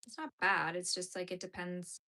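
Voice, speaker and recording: A woman's voice: fairly low pitch, normal speed, bright, forceful tone. A woman in her thirties chatting casually while she knits, rambling and full of fillers as she answers viewers. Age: 30s. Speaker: knitting channel host. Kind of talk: casual chat while knitting.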